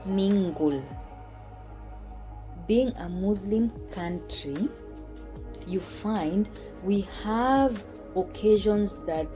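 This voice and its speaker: A Kenyan-accented woman telling a personal story as a vlogger, speaking deliberately with pauses and emphatic repetition. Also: fairly low pitch, warm tone. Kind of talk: personal vlog storytelling to camera.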